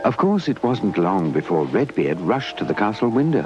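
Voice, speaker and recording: Low-voiced British storyteller, lively delivery. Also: male voice, normal speed. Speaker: narrator. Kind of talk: scripted children's storytelling. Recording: dull old VHS tape, loud music.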